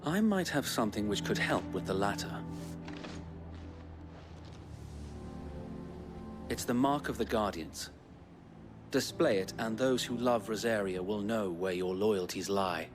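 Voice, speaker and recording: An actor playing a loyal, battle-weary resistance knight in a fantasy game, earnest and formal with a rough soldier's tongue. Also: male voice, fairly low pitch, normal speed, natural voice. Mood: gruff warmth. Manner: earnest, rousing, formal speech with some coarse swearing. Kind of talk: dramatic fantasy game acting. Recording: orchestral game music behind.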